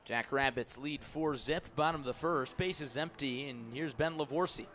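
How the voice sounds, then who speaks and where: A man working as a radio play-by-play announcer, calling live baseball in crisp, clipped phrases. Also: medium pitch, moderate pace, fairly bright tone, a little hiss or hum.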